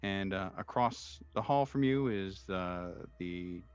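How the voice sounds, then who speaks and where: A low-voiced man acts as game master for a tabletop horror session. He describes scenes off the cuff in halting, searching phrases, in a fairly unprocessed voice. Music plays clearly behind him. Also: highs cut off. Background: background music.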